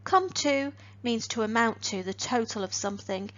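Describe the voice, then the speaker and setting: A woman teaches a lesson, her voice sitting a little high. She speaks clearly and deliberately and lifts each new phrasal verb. The recording sounds slightly dull. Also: expressive, a bit bright. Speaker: English teacher. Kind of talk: online grammar lesson.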